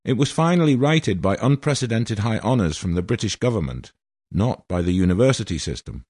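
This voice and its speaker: A man in his sixties from Wales delivers a prepared statement in a formal, grandiose and aggrieved manner. His voice sits somewhat low, and the recording is dry and close with no room sound. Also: lively intonation, brisk, darkish tone, husky, no room sound. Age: 60s. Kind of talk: prepared statement read aloud.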